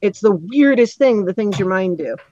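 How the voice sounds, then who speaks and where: A woman's voice: medium pitch, animated, moderate pace, mellow, muffled recording. A woman chatting casually and expressively as host of a live online discussion, rambling a little as she answers comments. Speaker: host of a panel discussion show. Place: indoors, online live stream.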